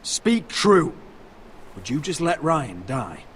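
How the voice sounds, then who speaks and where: An actor playing a defiant, resolute young lord in a video game drama, speaking firmly and with command. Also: male voice, a little high, very animated, slow pace, a bit bright, heavily acted. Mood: grim, determined. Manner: firm, commanding. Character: defiant, resolute young male lord defending his house. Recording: faint background noise.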